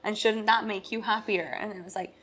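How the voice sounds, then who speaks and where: A woman's voice: mid-range voice, steady tone, fairly quick, a bit bright, breathy edge. A woman co-hosting a podcast in a casual chat, laughing often as she explains the research she has read. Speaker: podcast co-host.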